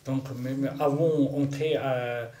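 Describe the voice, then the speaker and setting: A middle-aged man asking casual, unscripted questions as an interviewer, with halting, fragmented phrasing. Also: medium pitch, fairly even intonation, warm tone. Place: classroom, seated indoors by a wall. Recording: roomy, echoing classroom sound.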